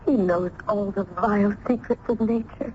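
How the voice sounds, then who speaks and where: An actress playing an anguished, lonely young daughter, earnest and pleading in old-time radio drama style. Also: female voice, mid-range voice, steady tone, normal speed, natural voice. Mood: mournful. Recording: dull old radio broadcast, faint noise.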